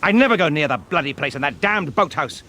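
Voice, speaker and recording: A man's voice: fairly high pitch, expressive, fast, pushed and bright, slight background noise. A man playing a brooding, irritable husband in a radio drama, curt and impatient, with an upper-class English accent. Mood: angry, bitter.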